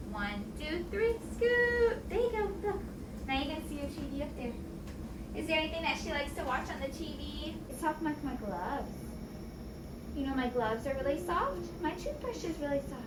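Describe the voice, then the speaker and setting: A woman gently coaxing a child into a chair in a singsong manner. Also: high voice, heavy background noise. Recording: distant echoey room with loud ambience.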